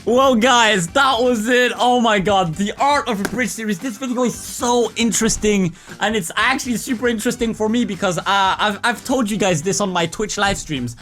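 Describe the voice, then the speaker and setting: Bright, high-pitched excitable man. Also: moderate pace, hardly any pauses, faint music behind. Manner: excitable, informal, sweary. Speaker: YouTube reactor, host of the channel. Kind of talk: casual, spontaneous reaction commentary.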